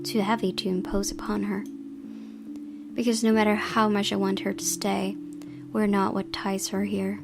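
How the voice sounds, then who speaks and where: A woman's voice: medium pitch, vocal fry. A woman reading a visual novel aloud as a gaming host, dramatic in delivery and sometimes stumbling, over guitar music. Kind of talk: expressive read-aloud narration of visual novel.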